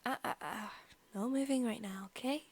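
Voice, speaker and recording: A young woman playing a possessive female vampire student in an intimate ASMR roleplay, softly spoken, partly whispered, coy and taunting. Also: fairly high pitch, no room sound. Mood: menacing, excited. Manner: soft, slow, coy talk sliding into taunting. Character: female student vampire, possessive, sweet-talking, menacing predator.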